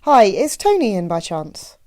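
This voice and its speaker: Woman reading as an easygoing older man, mellow. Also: mid-range voice, huge pitch swings, measured pace. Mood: casual. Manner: casual, plainspoken. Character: older easygoing small-town married man. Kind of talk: audiobook character voice.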